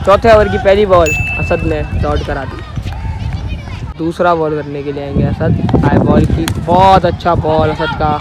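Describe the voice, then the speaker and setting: A man's voice: a little high, sing-song, a little slow. Man calling street cricket, noisy outdoors. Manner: repetitive, formulaic calls of each delivery. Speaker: amateur cricket commentator. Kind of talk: ball-by-ball cricket commentary. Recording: noisy outdoor phone recording.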